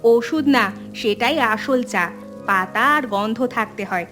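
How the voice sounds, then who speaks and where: Woman playing a composed elder sister, highish voice. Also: slow pace, a bit bright, faint music behind. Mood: firm, teasing. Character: sensible elder sister, married mother from the city. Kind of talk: animated family drama dialogue.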